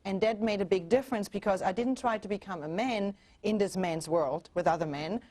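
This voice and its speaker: A woman's voice: mid-range voice, brisk, a little mellow. A woman in her forties from Germany with a German accent, a relationship coach talking earnestly as a guest on a TV show. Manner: earnest, with frequent restarts and fillers. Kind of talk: TV interview.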